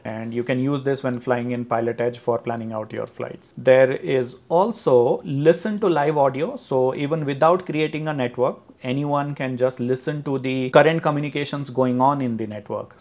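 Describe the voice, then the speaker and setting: A man narrates a flight-sim tutorial over a screen recording. His voice sits at an ordinary middle pitch, and he explains things steadily and plainly, pausing now and then on a filler. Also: darkish tone. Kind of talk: screen-recorded website walkthrough.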